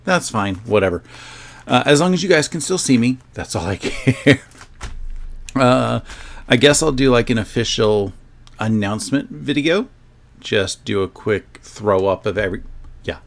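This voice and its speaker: A man's voice: lowish voice, creaky. A man hosting a sewing livestream, chatting casually to his viewers in a rambling, self-joking way.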